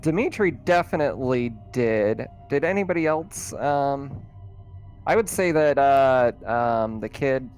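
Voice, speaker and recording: A man's voice: mid-range voice, expressive, unhurried, a little mellow, soft background music. A man chatting casually as game master of a tabletop roleplaying session.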